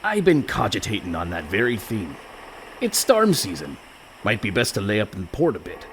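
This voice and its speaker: Blustering, swooping pirate captain. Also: male voice, on the low side, wildly swooping pitch, normal speed, a bit bright, a little hiss or hum, rough recording. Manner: hemming, rustic pirate dialect. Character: blustering, sheepish old-fashioned pirate captain. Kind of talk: comic radio play acting.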